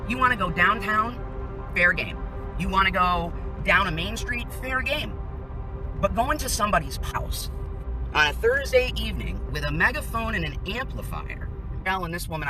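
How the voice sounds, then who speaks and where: A woman's voice: on the low side, poor audio. A woman filming a street protest on her phone and narrating it indignantly, lecturing the crowd outdoors. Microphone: handheld phone.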